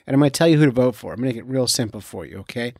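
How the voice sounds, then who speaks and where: A man's voice: mid-range voice, lively intonation, rapid-fire, a little mellow, no echo. Man hosting a podcast, close and dry. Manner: informal, directly urging listeners. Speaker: podcast host. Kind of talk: casual unscripted podcast talk.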